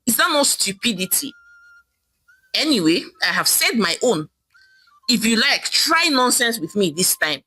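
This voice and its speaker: A woman's voice: a little high, very animated, a little slow, pauses often, bright, forceful tone, breathy edge, no room sound, exaggerated voice, low-quality recording. A woman voicing a defiant middle-aged Nigerian wife in a folktale, scolding and taunting with emphatic delivery and a Nigerian accent. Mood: indignant scolding.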